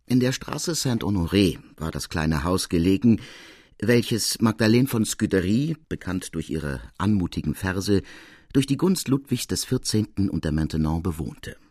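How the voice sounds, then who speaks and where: A man's voice: low voice, lively intonation, normal speed, a little mellow, breathy edge, no echo, studio quality. A man working as a narrator, reading scripted literary prose.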